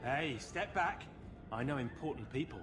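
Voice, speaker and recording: An actor playing a drunken, boastful but cowardly lowlife in a video game, with rough, blustering street talk. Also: male voice, lively intonation, normal speed, over music, close to an everyday voice. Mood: cocky, belligerent. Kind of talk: video game cutscene acting.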